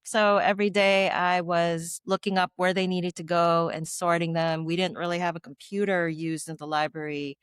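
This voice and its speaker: Smooth, low-voiced middle-aged woman from the Philippines. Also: fairly even intonation, normal speed, few pauses, dry recording, studio quality. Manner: articulate, reflective, light laughs. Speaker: guest, former tech executive. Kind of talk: conversational interview answers.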